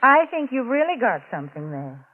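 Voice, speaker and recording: A woman's voice: fairly high pitch, sing-song, fairly quick. A woman playing a cheerful, homey sponsor's spokeswoman in 1940s radio, chatty and wholesome. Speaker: commercial announcer. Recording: tinny, phone-like old radio recording.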